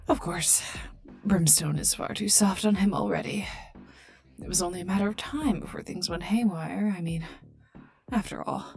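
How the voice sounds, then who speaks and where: A woman playing a cold, sardonic, menacing female scientist in an ASMR roleplay, taunting the listener in breathy half-whispers. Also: fairly low pitch. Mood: scornful, exasperated. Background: calm ambient music.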